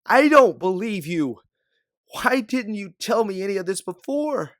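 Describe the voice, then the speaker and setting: A man's voice: high-pitched, animated, measured pace, a bit bright, dry recording, studio quality, pitched above the performer's own voice. A man playing an emotional bounty hunter in their late twenties in an audio drama, with an intense, pleading manner. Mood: anguished, accusing. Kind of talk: dramatic audio fiction acting.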